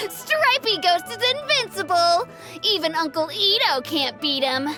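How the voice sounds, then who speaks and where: A woman's voice: extremely high pitch, heavily acted. A woman voicing a smug, gloating little boy in a video game. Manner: gloating, bright and bouncy. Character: cocky little boy, smug beetle-fight champ.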